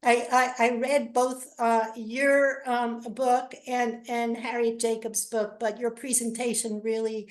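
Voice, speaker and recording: A woman giving halting, earnest thanks in closing remarks, a little hoarse and choked up. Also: fairly high pitch, flat delivery. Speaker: event organiser giving thanks.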